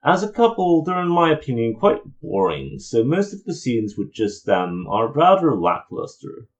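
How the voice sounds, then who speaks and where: A man's voice: mid-range voice, very animated, moderate pace, lo-fi sound. A man giving an opinionated, explanatory video review in an informal commentary style.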